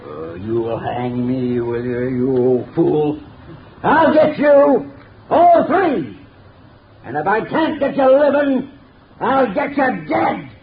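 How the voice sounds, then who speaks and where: A vengeful condemned killer, growling low then erupting into threats, his pitch swinging widely over an old, muffled and slightly hissy 1930s radio recording. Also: sing-song, slow pace, exaggerated voice. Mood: raging. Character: condemned male murderer, menacing, vengeful. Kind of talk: 1930s radio drama acting.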